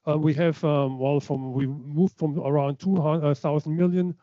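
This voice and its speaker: A man lectures from slides at a conference, pausing often on fillers and restarting his sentences. His voice sits a little high for a man, yet it sounds mellow and dark. The sound is dry and slightly muffled. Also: fairly even intonation, no room sound. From Germany. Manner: hesitant, many fillers and restarts. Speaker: keynote speaker.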